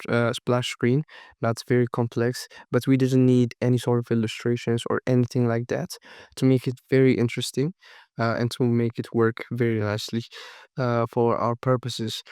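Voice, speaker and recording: A man with a cold walking through a design tutorial, unhurried and thinking aloud, his voice sometimes cracking. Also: mid-range voice, a little mellow, clean tone, no echo, studio quality. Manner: unhurried, thinking aloud with frequent fillers and restarts. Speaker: design course instructor. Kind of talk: screen-recorded software tutorial.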